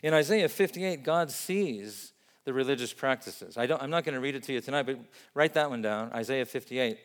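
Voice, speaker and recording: A man who grew up in Vietnam, preaching a sermon in an earnest, measured way and building up emphatic lists. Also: medium pitch, animated, big-room sound. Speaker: pastor.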